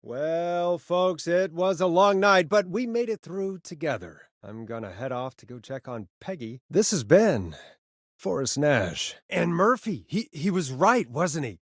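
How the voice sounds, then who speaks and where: A man playing an earnest, rattled radio host in a video game, speaking in a halting, conversational way as he reasons with a killer. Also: a little high, sing-song, dry recording, studio quality, full character voice. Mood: weary, relieved. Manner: halting, stammering, conversational delivery. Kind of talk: dramatic video game voice acting.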